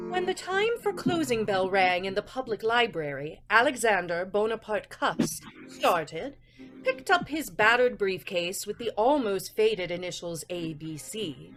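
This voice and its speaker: A woman's voice: mid-range voice, big pitch swings, a little slow, a bit bright. A woman announcing and narrating a scripted old-time radio drama in a dramatic, theatrical manner. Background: prominent music.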